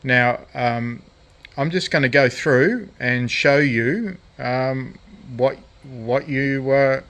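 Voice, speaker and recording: A man talks through a hobby project in a casual, unscripted way. His delivery is halting and hesitant, with frequent pauses, at a medium pitch. He sounds like an amateur presenter showing how something is done. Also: fairly bright tone. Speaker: hobbyist presenter of a DIY electronics video. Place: indoors at a cluttered radio workbench.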